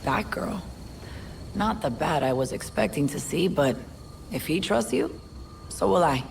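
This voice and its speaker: An actress playing a weary, loyal policewoman in a video game, with a gravelly voice and dry, streetwise, plain-spoken delivery. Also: deep voice, animated, measured pace, darkish tone, a little hiss or hum, heavily acted. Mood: guarded, wry. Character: weary, world-worn female police officer, wry and loyal. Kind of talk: video game cutscene acting. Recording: music behind the voice. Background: music.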